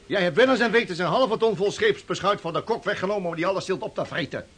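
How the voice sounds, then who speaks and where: A man's voice: high-pitched, fast, fairly bright tone, slight background noise. A man acting a stern, suspicious old-time ship's captain in a radio drama, scolding and giving orders. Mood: stern, accusing. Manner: interrogating, commanding, scolding.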